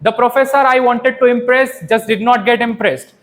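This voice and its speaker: A man with an Indian accent giving a live public speaking workshop, emphatic and theatrical, with rhetorical questions and deliberate pauses. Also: extremely high pitch, fairly even intonation, fairly bright tone. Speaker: public speaking trainer at a Toastmasters session. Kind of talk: live workshop talk, impromptu teaching with personal anecdotes.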